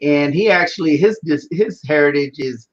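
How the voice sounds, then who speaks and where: A man's voice: fairly high pitch, normal speed, dull audio. A man from Houston with a Texas accent and Spanish as his first language, chatting casually as an interview guest. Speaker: interview guest, business owner. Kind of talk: casual chat about his background.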